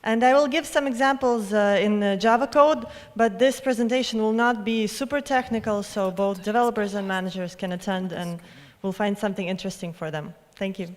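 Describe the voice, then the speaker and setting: A woman's voice: mid-range voice, fairly even intonation, brisk. A young woman introducing her talk to an audience into a handheld microphone. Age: young adult. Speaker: conference speaker. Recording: reverberant hall.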